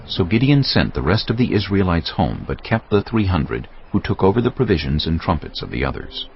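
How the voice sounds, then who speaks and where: Deep, gravelly male dramatic narrator. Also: sing-song, a little fast, a little hiss or hum. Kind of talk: scripted dramatic audio Bible narration. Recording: muffled audio under music.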